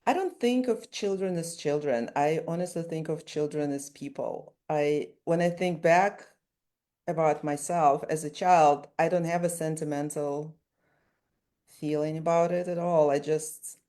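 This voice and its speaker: A woman's voice: sits low, unhurried, warm tone. A woman whose first language is Russian, an author-illustrator answering interview questions thoughtfully, often restarting her sentences. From Ukraine.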